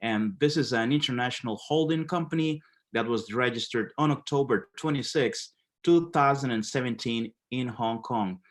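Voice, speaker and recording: A man from Haiti presenting a business webinar, with many fillers and halting restarts. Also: mid-range voice.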